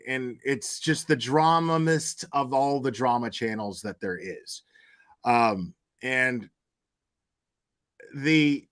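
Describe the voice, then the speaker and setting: A man's voice: mid-range voice, fairly bright tone. Rambling, blunt man giving casual commentary. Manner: rambling, blunt, crude, profanity-laced. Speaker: YouTube commentator. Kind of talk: casual opinion commentary on online drama.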